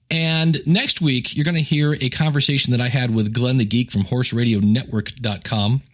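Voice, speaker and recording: A man hosting a podcast as a coach, talking informally and directly to his listeners in a conversational monologue. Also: a little high.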